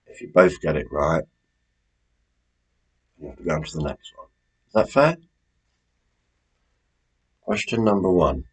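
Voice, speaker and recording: A man's voice: sits low, expressive, a little slow, pauses often, husky. A British man presenting a quiz in a chatty, informal way, as the host reading out questions.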